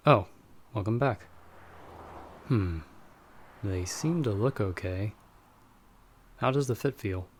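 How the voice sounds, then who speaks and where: A man plays a guarded vampire hunter for an audio roleplay, his voice on the low side. He talks to his companion in dry, measured, wry lines, protective underneath. There is faint noisy ambience behind him. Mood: casual, attentive. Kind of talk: ASMR audio roleplay, scripted monologue to listener.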